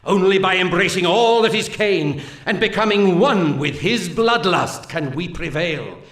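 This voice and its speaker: An actor playing a solemn, zealous alien elf war leader in a video game, delivering mission briefings in grandiose, archaic oratory. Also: male voice, sits high, lively intonation, measured pace, fairly bright tone, reverberant, exaggerated voice. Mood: grave, urgent.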